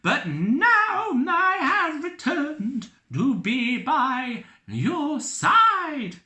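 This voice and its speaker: A storyteller voicing a giggly, high-pitched woman who chirps her lines. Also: big pitch swings, drawn-out words, bright, forceful tone, echoey room, exaggerated voice. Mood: solemn.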